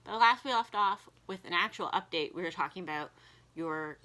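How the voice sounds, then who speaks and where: A woman's voice: lowish voice, normal speed, bright, forceful tone. A young woman co-hosting a vlog, playful and teasing, explaining things casually and sometimes dropping into a whisper. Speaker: vlog co-host. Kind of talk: casual chat.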